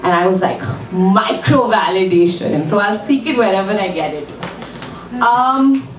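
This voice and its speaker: A woman's voice: mid-range voice, unhurried, noisy background. A woman from India in her thirties with an Indian accent, doing a self-deprecating stand-up set with ums and little laughs on a small club stage. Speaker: stand-up comic at an open mic. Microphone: mic on a stand, filmed from the room. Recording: echoey club room, voice from far off.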